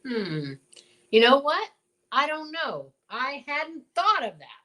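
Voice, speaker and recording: A folksy old small-town lawman in a radio play , acted by a woman. The voice is high for the part and very animated, full of hems and rustic exclamations. He sounds dutiful and a little flustered. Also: slow pace, heavily acted. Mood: puzzled. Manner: folksy, drawling exclamations, hemming. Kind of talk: old-time radio drama acting.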